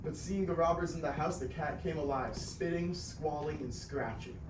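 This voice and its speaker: A man's voice: a little high, moderate pace, lightly acted. A teenage boy reading as storybook narrator in a student reader's theater performance. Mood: narrating. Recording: distant mic, echoey noisy classroom.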